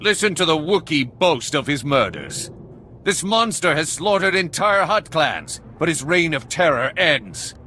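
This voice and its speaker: A man's voice: a little high, big pitch swings, measured pace, pushed and bright, soft background music, exaggerated voice. A man voicing a fierce, bombastic alien warrior in a video game, declaiming with menace. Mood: menacing, outraged. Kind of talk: video game cutscene dialogue.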